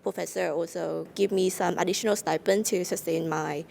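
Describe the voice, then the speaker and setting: A woman's voice: lowish voice, fairly even intonation, normal speed, gravelly, darkish tone, a little hiss or hum. A woman in her late twenties from Vietnam with a Vietnamese accent, giving casual interview answers as a student guest.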